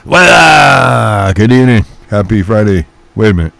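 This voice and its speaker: A man's voice: on the low side, very animated, moderate pace, gravelly, background noise. A man in casual radio chat, telling stories animatedly with loose, mildly profane slang. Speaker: musician chatting on CB radio.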